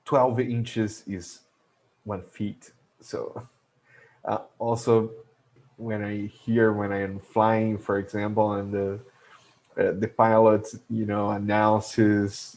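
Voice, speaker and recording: Slow, low-voiced man with Portuguese accent. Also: darkish tone. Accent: Brazilian Portuguese. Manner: hesitant, many filler sounds and restarts, chuckles to himself. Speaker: logistics educator. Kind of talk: explanatory video lesson.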